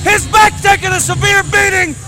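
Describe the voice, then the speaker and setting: A man calling indie wrestling matches with shouted, hyped play-by-play while losing his voice. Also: extremely high pitch, flat delivery, moderate pace. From Kentucky. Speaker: wrestling commentator. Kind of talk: excited play-by-play commentary. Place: indie wrestling event venue. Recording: loud crowd and arena music behind.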